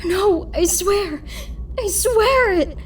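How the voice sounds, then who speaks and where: Actress as tearful young female captive, animated drama, extremely high pleading voice, slightly distant. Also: drawn-out words, faint music behind, heavily acted. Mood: desperate pleading. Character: desperate captive young woman pleading with her tormentor. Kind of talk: animated fan drama acting.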